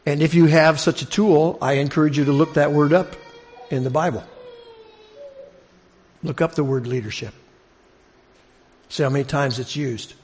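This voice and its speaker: A man's voice: fairly high pitch, fairly even intonation, moderate pace, pauses often, a little mellow. A man giving a conversational expository sermon as a preacher, posing questions to his listeners and repeating phrases for emphasis. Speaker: preacher teaching a congregation.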